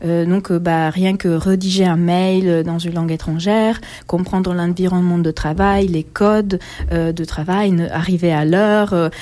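Halting woman, low mellow voice. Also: fairly even intonation. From Italy. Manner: halting, thoughtful, many pauses. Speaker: guest. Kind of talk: interview answers.

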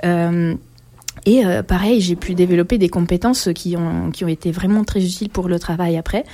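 A woman in her forties speaks slowly and thoughtfully, pausing often between phrases. Her voice sits a little low and sounds mellow and warm. She is answering as a guest in an interview. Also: fairly even intonation. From Italy. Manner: halting, thoughtful, many pauses.

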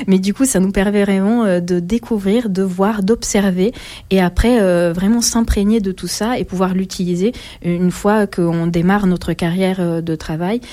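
A woman, a guest answering interview questions in a halting, thoughtful way. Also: mid-range voice, steady tone, mellow. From Italy. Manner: halting, thoughtful, many pauses.

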